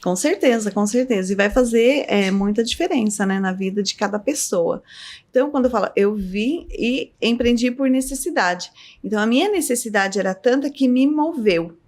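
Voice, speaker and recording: A middle-aged woman, a business owner telling stories as a podcast guest, her voice leaping up high as she laughs through anecdotes. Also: normal speed. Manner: lively storyteller, voice jumps up high in anecdotes, laughs often. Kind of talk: casual storytelling interview.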